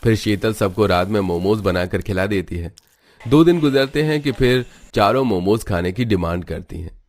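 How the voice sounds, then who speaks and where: Male narrator, fairly low pitch, scripted cartoon storytelling. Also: lively intonation, normal speed, a little mellow.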